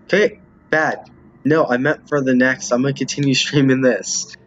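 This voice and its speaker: A man with a husky, mid-pitched voice gives casual commentary on a game he is streaming. He reads text aloud, stumbling and doubling back at times. The recording is dull and a bit roomy. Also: fairly even intonation, moderate pace, a bit bright. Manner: stumbles while reading, repeats lines. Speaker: streamer playing a visual novel with viewers. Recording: muffled, roomy home recording.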